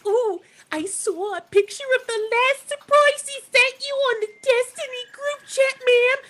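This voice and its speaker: An extremely high, bright and piercing voice for a vain receptionist in a comic audio drama. She gushes and overdramatizes everything with theatrical excitement. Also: a little slow. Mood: gushing. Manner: theatrical, overexcited. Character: vain, melodramatic, gushing female receptionist. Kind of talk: audio drama comedy acting.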